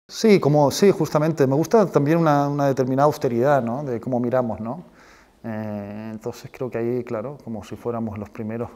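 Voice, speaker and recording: A man's voice: mid-range voice, warm tone. A man from Spain and Chile talking reflectively as a film director in an interview, searching for words and often ending phrases with a questioning tag. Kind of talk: reflective interview talk about own work.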